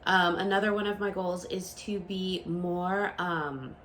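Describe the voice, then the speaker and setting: A woman's voice: on the low side, fairly even intonation, a little slow, a bit bright, slight background noise. A woman in her late thirties hosting a book channel, talking casually to camera, a bit nasal and stuffed up from a cold, with lots of ums and restarts. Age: 30s. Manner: chatty, frequent ums, rambling restarts.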